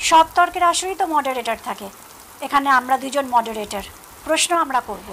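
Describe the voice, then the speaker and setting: High, bright young daughter-in-law moderator. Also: female voice, expressive, brisk, slight background noise. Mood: questioning. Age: young adult. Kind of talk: Bengali audio drama dialogue.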